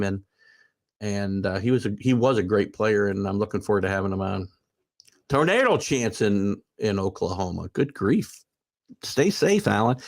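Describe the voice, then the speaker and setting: A man in his sixties hosting a sports talk stream, rambling with many fillers and restarts, then building into emphatic rants as he answers viewer comments. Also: lowish voice. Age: 60s. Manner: animated, rising into emphatic rants. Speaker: sports talk show host. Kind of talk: livestream chat reading viewer comments.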